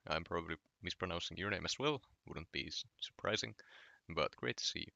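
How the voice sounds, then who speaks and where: A man's voice: low-pitched, fairly quick, gravelly, dry recording, dull audio. A young man from Finland with a Finnish accent, chatting casually on a late-night gaming stream while answering his chat. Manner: relaxed, reading chat aloud and answering.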